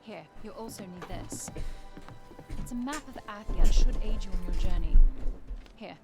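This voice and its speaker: A woman's voice: mid-range voice, normal speed, lightly acted. An actress playing an earnest fantasy townswoman who speaks in a formal, solemn, old-fashioned way in a video game. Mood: kindly formal. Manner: formal, archaic, solemn phrasing. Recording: game music behind the voice.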